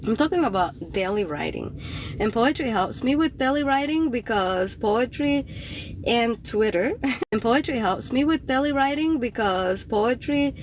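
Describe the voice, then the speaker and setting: A woman's voice: a little high, background noise. A woman from Cuba whose first language is Spanish, hosting a livestream podcast in English with a Cuban accent, chatting in a meandering way with many fillers. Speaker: podcast host and poet. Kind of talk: casual livestream chat greeting viewers.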